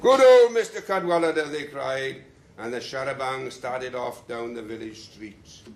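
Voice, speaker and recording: A man giving a talk to a local group and reading passages aloud with slow, dramatic pacing. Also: medium pitch, a little hiss or hum. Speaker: speaker at a talk. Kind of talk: lecture with readings.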